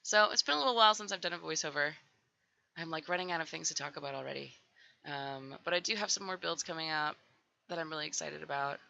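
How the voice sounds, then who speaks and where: A woman with a raspy voice, just up and getting over a cold, rambling casually through a voiceover with lots of "um" and "like". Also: low voice, fast talker, bright, forceful tone, dull audio. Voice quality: raspy with vocal fry. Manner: rambling, full of "um" and "like". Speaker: Sims builder doing a voiceover.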